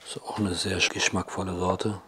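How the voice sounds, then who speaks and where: A hoarse man with a cold, explaining gardening in a plain, matter-of-fact way with slightly broken German. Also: low-pitched, level tone, moderate pace, sharp tone, slight background noise. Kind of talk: casual explanation. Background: clinking dishes and cutlery.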